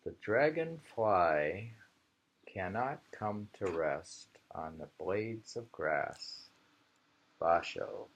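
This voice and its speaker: A man reading short poems in an unhurried, halting way, repeating lines slowly and musing on them informally in a dull, lo-fi home recording. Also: lowish voice, expressive. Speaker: reader of a poetry anthology.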